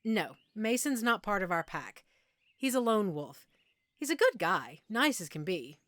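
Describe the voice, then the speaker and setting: A woman acts out a middle-aged female werewolf alpha, patient and sure of herself. Her mid-pitched voice explains things in an intimate, conversational audio roleplay style, leaving measured pauses. The sound is dry, with very little room in it. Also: sing-song, a bit bright, dry recording. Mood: calm, frank.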